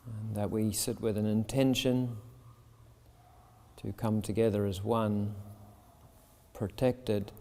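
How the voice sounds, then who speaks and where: A man leading a guided meditation as a yoga teacher, speaking soothingly and deliberately in short phrases with pauses. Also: on the low side, flat delivery, dark tone. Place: yoga shala with a seated group. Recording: long reverb of a large hall.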